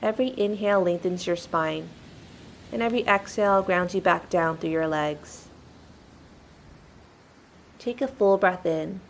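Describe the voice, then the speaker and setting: A woman's voice: lowish voice, unhurried, gravelly, long pauses, a little hiss or hum. A woman leading a guided meditation in a soothing, instructional manner.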